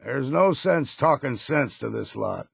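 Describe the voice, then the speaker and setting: An actor playing a grizzled, world-weary old hunter in a video game, with an American accent and a gruff, gravelly, folksy delivery. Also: male voice, medium pitch, lively intonation, measured pace, no room sound, studio quality, heavily acted. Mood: scornful. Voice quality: gravelly, husky. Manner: folksy, plain-spoken, blunt. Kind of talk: video game character voice lines.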